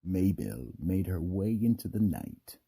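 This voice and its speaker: Very deep, gravelly male radio narrator. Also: sing-song, mellow, dry recording. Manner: theatrical, deliberate storytelling. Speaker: narrator and host. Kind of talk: scripted radio mystery drama narration.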